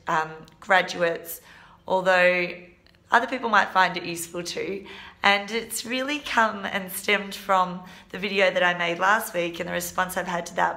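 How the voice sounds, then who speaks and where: A woman in her twenties, a teacher, hoarse today, giving earnest, practical advice in a casual vlog. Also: lowish voice, bright, forceful tone. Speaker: primary school teacher and vlog host.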